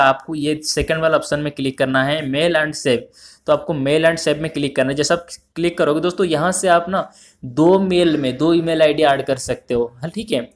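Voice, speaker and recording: A man narrating an informal phone tutorial, explaining step by step with repetition and addressing viewers as friends. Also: on the high side. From India. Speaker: tutorial host.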